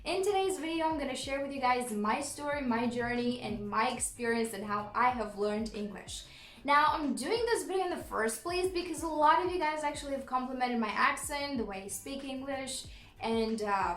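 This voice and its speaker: A young woman with a Romanian accent, chatting in a storytime vlog, laughing often and filling pauses with ums. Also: on the high side, roomy sound. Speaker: YouTuber.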